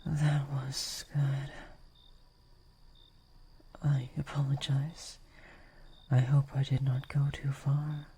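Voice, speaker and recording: A woman voicing a gentle but possessive vampire lady in an intimate roleplay, soft-spoken and often whispering, with formal, old-fashioned, coaxing phrasing. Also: extremely low pitch, little pitch movement, a little hiss or hum, heavily acted. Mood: tender, possessive. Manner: formal, archaic, slow, coaxing.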